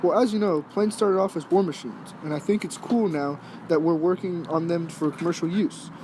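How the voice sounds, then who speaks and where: A man's voice: fairly high pitch, normal speed, warm tone, natural voice. A man playing a folksy airplane pilot interviewed on a 1920s radio show. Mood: informative. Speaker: pilot guest. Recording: noisy amateur recording.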